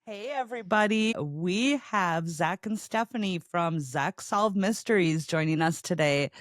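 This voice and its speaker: A woman's voice: lowish voice, lively intonation, unhurried, no room sound, clean studio sound. A woman hosting a podcast in casual conversation, effusive and welcoming with her guests. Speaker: podcast host. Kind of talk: casual chat.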